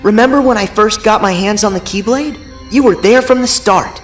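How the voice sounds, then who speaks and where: A man's voice: high-pitched, moderate pace, natural voice. An actor playing a cheerful, earnest teenage boy hero in video game cutscenes, talking in an upbeat, eager way. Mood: grateful. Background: faint game music.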